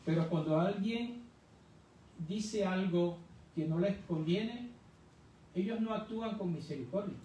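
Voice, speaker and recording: A man with a high, mellow voice teaches scripture in a conversational, didactic way, posing questions as he explains. He is recorded at a distance in a heavily reverberant room. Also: a little slow, pauses often. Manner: didactic, poses rhetorical questions to listeners. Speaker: church Bible teacher. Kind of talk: conversational expository Bible teaching. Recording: very echoey room, distant mic, faint noise.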